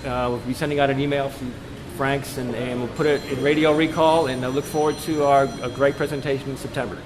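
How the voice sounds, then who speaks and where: Male club director, average pitch, polite unscripted delivery, distant camera mic with noticeable background noise. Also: flat delivery, brisk. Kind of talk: informal announcement to a club meeting. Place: indoor club meeting room. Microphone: camera microphone at a distance. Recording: noisy room through a camera microphone.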